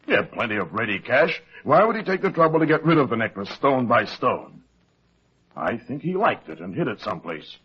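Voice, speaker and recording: An actor playing a brisk, commanding old insurance company president in an old-time radio drama, businesslike and emphatic. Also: male voice, medium pitch, very animated, husky. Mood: insistent. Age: older. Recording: muffled old radio broadcast recording.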